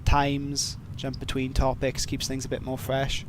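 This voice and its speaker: A man's voice: medium pitch, monotone, measured pace, background noise. A man with a heavy Welsh accent chatting casually on a livestream, reading and answering viewer questions in a rambling, self-deprecating way with many fillers. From Wales. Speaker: streamer.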